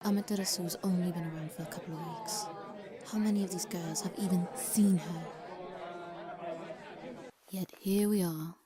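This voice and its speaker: A woman's voice: on the low side, steady tone, normal speed, pauses often, crowd noise, natural voice. A woman playing a starstruck seventeen-year-old girl who narrates earnestly and dramatically in a fan-dubbed comic drama, with music playing. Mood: brooding.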